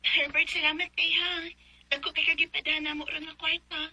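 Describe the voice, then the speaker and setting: Very high-pitched plaintive young Cebuano woman. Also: flat delivery, brisk, over music, rough recording. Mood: grateful. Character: young pregnant woman, pleading, emotional lover. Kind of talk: Philippine radio drama acting.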